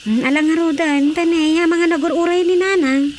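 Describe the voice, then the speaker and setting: An actress with an Ilocano Filipino accent playing an affectionate, chatty wife in a radio drama. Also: female voice, extremely high pitch, steady tone, moderate pace, a little hiss or hum.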